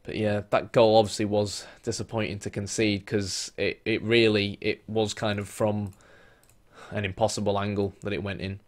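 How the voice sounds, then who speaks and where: A man, losing his voice, casually talking through a football management game, thinking aloud with lots of fillers and bursts of excited play-by-play. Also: lowish voice, normal speed. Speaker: gaming YouTuber.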